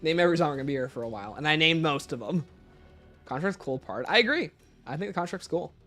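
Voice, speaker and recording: A man's voice: a little high, lively intonation, fast talker, a bit bright, faint music behind. A man hosting a casual livestream, chatting informally and playfully as he answers viewer questions. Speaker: livestream host.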